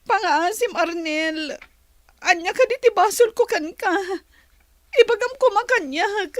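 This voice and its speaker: Woman playing a very high-voiced heartbroken young Filipino woman. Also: measured pace, dry recording, studio quality. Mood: pleading, upset. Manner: plaintive, emotional. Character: heartbroken young woman pleading with her boyfriend. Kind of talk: Ilocano radio drama acting.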